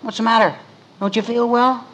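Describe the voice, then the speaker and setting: A woman's voice: fairly high pitch, steady tone, moderate pace, a bit bright, natural voice. A performer, heard as a woman, playing an awkward, sulky teenage boy in a 1950s radio sitcom. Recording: faintly noisy vintage radio recording.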